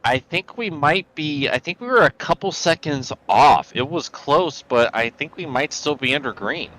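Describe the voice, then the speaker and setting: A man with a hoarse voice giving analytical color commentary on a sim race. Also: fairly high pitch, expressive, normal speed, a bit bright, dry recording, poor audio. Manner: analytical, explains car handling.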